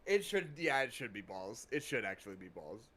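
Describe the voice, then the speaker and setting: A man's voice: fairly low pitch, big pitch swings, a little fast, bright, forceful tone. A man bantering casually with castmates on a livestream.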